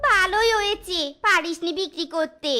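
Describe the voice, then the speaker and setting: A performer voicing a sharp-tongued, envious fox wife in a cartoon, nagging dramatically, over loud music. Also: extremely high pitch, normal speed, a little hiss or hum. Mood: bossy, resentful. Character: shrill, scolding, envious young fox wife. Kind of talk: cartoon voice acting. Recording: loud background music throughout.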